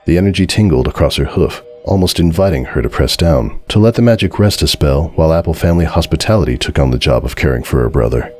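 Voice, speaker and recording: A man giving a dramatic scripted narration of a story in a measured, solemn way. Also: a bass voice, expressive, a little mellow. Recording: music behind the voice.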